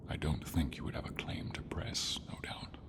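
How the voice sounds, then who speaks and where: A man voicing a friendly, devoted silver dragon in an ASMR fantasy roleplay, deep and breathy, speaking tenderly with dramatic pauses. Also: natural voice.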